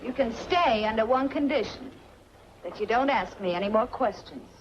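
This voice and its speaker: A woman's voice: fairly high pitch, animated, moderate pace, natural voice. An American actress playing a guarded, wistful young woman with a secret past in a 1940s film noir. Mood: guarded, brisk. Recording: muffled, noisy 1940s film soundtrack.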